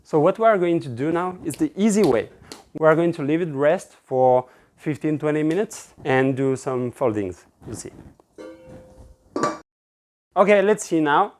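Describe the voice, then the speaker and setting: Male, 30s to 40s, native French speaker with French accent, lightly raised pitch, casual kitchen-demo delivery, relaxed and informal. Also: normal speed, pauses often, a little hiss or hum. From France. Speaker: pizza-making instructor. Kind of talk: casual cooking demonstration. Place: indoor kitchen with stone counter.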